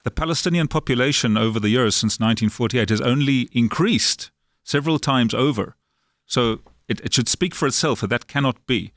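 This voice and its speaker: A man with a South African accent, a guest on a talk show, speaking in a measured, hesitant way with some fillers. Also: mid-range voice, a bit bright, no echo, clean studio sound. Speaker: guest from the Jerusalem office. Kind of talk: studio talk show discussion.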